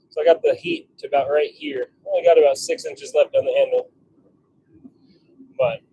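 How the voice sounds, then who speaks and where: A man chatting casually on a live stream, thinking out loud as he works in his workshop. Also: fairly high pitch, slight background noise, rough recording. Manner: chatty, thinking out loud while working at the forge. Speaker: livestream host, hobby blacksmith. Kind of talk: casual live-stream chat while working. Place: home forge workshop.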